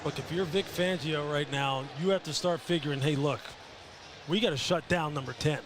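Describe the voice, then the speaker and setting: A man analyses football on a broadcast in an emphatic, colloquial way. His voice is rather high. There is clear stadium noise behind him. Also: normal speed. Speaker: television colour analyst.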